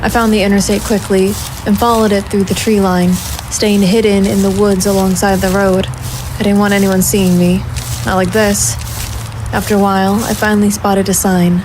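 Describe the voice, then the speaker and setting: A woman's voice: medium pitch, level tone, moderate pace, lightly acted. Actress doing hardboiled lady detective, audio drama, dry and sarcastic, ambient noise behind her. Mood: wry, tense. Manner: wry, dry, self-mocking. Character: hardboiled, sardonic female private investigator. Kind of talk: first-person noir audio drama narration and dialogue.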